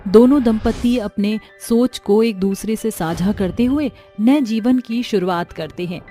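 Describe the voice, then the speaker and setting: A woman narrating an animated story in a measured, even way. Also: on the high side, faint music behind.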